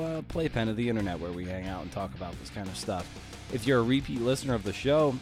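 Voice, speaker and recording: A middle-aged man hosting a podcast in a conversational, informal way, with wry, playful asides. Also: fairly low pitch. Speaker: podcast host. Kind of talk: conversational podcast monologue and interviewing.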